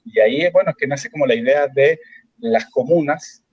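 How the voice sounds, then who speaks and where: Halting Chilean man, dull dry sound. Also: medium pitch, slightly rough, dry recording. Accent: Chilean Spanish. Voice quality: halting, many fillers and restarts. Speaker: guest. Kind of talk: political discussion.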